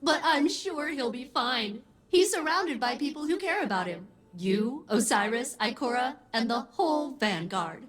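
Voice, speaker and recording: A woman voicing a chirpy, fiercely loyal computer intelligence in a video game, with a theatrical, slightly husky voice. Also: sits high, animated, unhurried, hardly any pauses, heavily acted. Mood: upbeat, protective. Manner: bright, theatrical, swooping. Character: chirpy, loyal female ship AI, fiercely protective.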